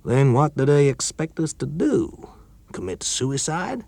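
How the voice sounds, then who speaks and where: Man doing a gruff weary engineer, sour, lively pitch, dry close sound. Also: a little slow, no room sound, full character voice. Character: gruff, bored male engineer from the South.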